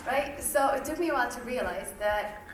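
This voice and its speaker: A woman's voice: on the high side, expressive, normal speed, over music. A young woman giving a candid personal speech to an audience, chatty, with rhetorical questions. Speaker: guest speaker. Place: event hall. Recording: roomy hall from a distance.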